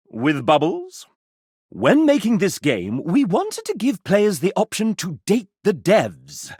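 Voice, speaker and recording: An actor voicing a sassy, vain gentleman mascot in a video game, theatrical and pompous, with flamboyant old-fashioned phrasing and a husky edge. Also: on the high side, no room sound, studio quality, full character voice. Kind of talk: video game character dialogue.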